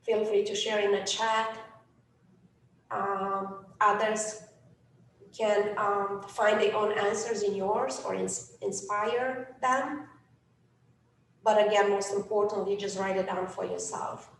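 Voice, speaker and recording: A woman's voice: mid-range voice, fairly even intonation, slow, a little hiss or hum. Romanian woman speaking earnestly, echoey. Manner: earnest, repeats words, many fillers. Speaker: event speaker and coach. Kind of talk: live online event talk. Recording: roomy, distant from the mic.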